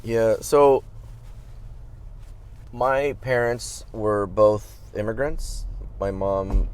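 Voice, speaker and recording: Low-voiced man in his thirties, rambling. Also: fairly even intonation, drawn-out words, clean tone. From Southern California. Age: 30s. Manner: rambling, self-deprecating, frequent restarts. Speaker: trial lawyer and podcast co-host. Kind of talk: casual podcast chat.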